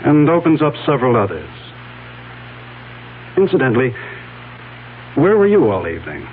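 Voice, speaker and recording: A tinny old radio recording with faint hum presents a probing male investigator. He speaks in a mid-pitched voice, measured and wry, with pointed suspicion typical of 1970s radio drama. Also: wildly swooping pitch. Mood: dry, suspicious.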